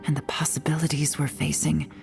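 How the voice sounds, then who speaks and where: Game investigator, adult woman: low register, solemn, resolute, measured, faint score beneath. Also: level tone, creaky, over music. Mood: grave, confiding. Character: grave, determined adult female investigator with a secret past. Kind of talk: video game voice acting.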